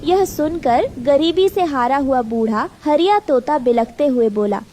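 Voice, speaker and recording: A woman's voice: sits high, animated, measured pace, warm tone. A woman reading scripted narration for a Hindi cartoon story.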